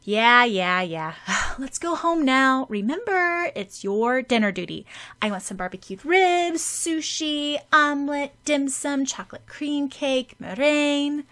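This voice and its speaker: A woman plays an excitable, bossy schoolgirl in a story reading. The voice jumps up high and loud, dramatic and demanding in every phrase. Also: unhurried, full character voice. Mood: bossy disbelief.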